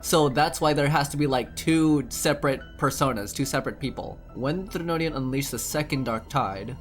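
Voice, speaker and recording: A man's voice: on the high side, normal speed. A young man doing casual playthrough commentary, thinking aloud in informal, slangy speech. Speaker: gaming YouTuber playing and commenting. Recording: commentary over game music.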